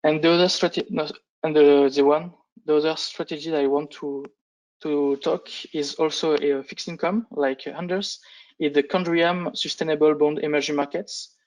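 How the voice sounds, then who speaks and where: A man who speaks English as a second language gives a business presentation on a conference call, with halting delivery and frequent false starts. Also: a little high, flat delivery, poor audio. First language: not English. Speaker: fund analyst presenting on a panel.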